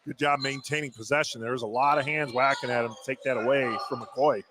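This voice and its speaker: A man's voice: mid-range voice, steady tone, quick pace, noisy background. A man giving color commentary and analysis on a live basketball radio broadcast. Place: basketball gym at a tournament.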